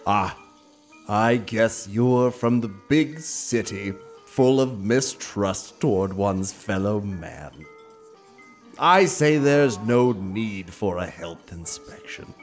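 A man's voice: lowish voice, animated, slow, faint music behind. A man voicing a pompous, condescending diner proprietor in an audio drama.

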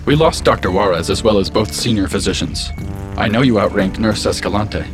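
An actor voicing an eager, literal, even-toned AI assistant on a space colony in an audio drama. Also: male voice, fairly low pitch, a little fast, music in the background, close to an everyday voice. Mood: polite, reasoning. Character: chipper, still-learning male AI assistant of a space colony.

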